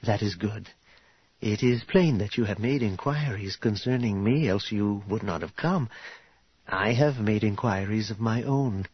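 A man voicing a formal, self-assured wealthy noblewoman for an audiobook, courteous and direct, with measured, old-fashioned phrasing. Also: fairly low pitch, slightly rough, highs cut off. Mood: earnest. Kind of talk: audiobook character voice.

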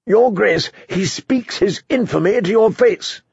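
A harsh, accusing knight of the court performed by a man in a fantasy audiobook. His husky voice sits raised and insistent. The recording sounds dry. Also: a little high, big pitch swings, slow pace, no room sound, dull audio, pitched above the performer's own voice, heavily acted. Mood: outraged. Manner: sharp, insistent.

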